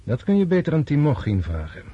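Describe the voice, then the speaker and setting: An actor in a Dutch radio drama playing a disillusioned, resolute Russian officer prince, speaking in a deliberate, scornful way. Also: male voice, a little high, huge pitch swings, breathy edge, no echo, muffled recording, heavily acted. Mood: dry, curt.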